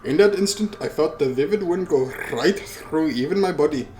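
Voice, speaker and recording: A man's voice: on the high side, lively intonation, moderate pace, darkish tone, a little hiss or hum. Casual man reading a game aloud. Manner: casual, swears in asides. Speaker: let's play host. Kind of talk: reading a visual novel aloud with casual commentary.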